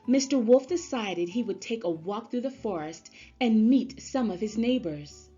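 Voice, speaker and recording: A woman narrating a children's story aloud in an expressive storybook-reader style. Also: a little high, measured pace, husky. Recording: slightly dull sound, music underneath. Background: music.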